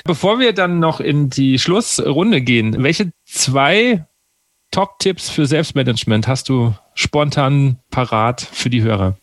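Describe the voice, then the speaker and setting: A man hosting an interview, speaking casually and asking relaxed questions. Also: medium pitch, a little slow, no room sound. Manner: relaxed, colloquial, asks questions. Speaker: podcast host.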